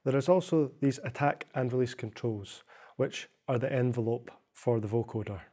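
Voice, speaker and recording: A young man narrating a software tutorial in a measured, explanatory way. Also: mid-range voice, husky. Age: young adult. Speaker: software demonstrator.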